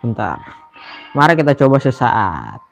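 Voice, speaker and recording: A man's voice: medium pitch, huge pitch swings, moderate pace, creaky, soft background music. A man with an Indonesian accent giving casual, slangy live commentary on a game, often muttering to himself. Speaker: game streamer.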